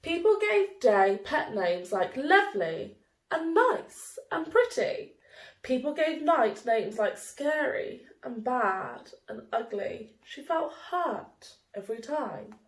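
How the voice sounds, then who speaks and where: A woman reading a children's picture book aloud in an expressive, deliberate storytelling manner. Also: high-pitched. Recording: roomy, echoey indoor sound.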